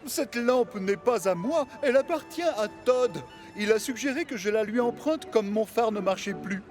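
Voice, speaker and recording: A man's voice: high voice, normal speed, a little mellow, natural voice. A man voicing a proud but good-hearted steam engine in a children's cartoon, earnest and plain-spoken. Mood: respectful. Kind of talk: French-dubbed children's cartoon acting. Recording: music bed behind the voice.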